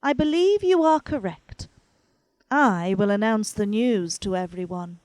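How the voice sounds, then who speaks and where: A woman playing a composed, kindly, authoritative older madame in an audio drama, with formal, measured phrasing. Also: medium pitch, lively intonation, darkish tone, dry recording, close to an everyday voice. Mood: brisk, resolved.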